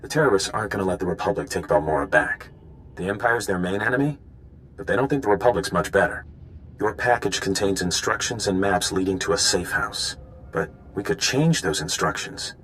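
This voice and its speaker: A man's voice: deep voice, a little fast, a bit bright, slight background noise, rough recording. An actor playing an earnest, scheming male undercover informant in a video game, speaking in an urgent, conspiratorial way. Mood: urgent, plotting. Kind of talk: video game dialogue acting.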